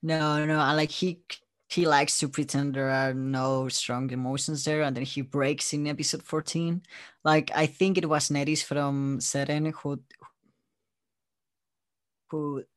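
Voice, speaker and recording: A man's voice: fairly high pitch, level tone, unhurried, no echo, clean studio sound. A man from Spain with a Spanish accent, chatting casually as an interview guest. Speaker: interview guest, audio drama creator.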